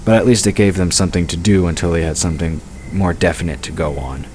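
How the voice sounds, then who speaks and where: A man's voice: low-pitched, fairly even intonation, fast, darkish tone, slight background noise. A man reading scripted fantasy narration as the narrator of an audio drama.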